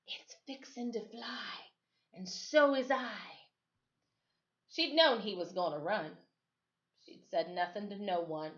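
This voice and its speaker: A woman reading a children's story aloud as expressive storytime narration. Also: on the low side, dull audio. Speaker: storytime reader.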